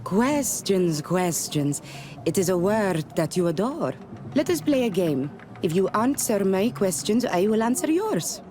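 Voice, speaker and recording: An actress playing a playful, cryptic native clan woman in a video game, proud and teasing, with measured, stilted phrasing. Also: medium pitch, sing-song, a little mellow. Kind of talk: video game character acting. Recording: music under the dialogue.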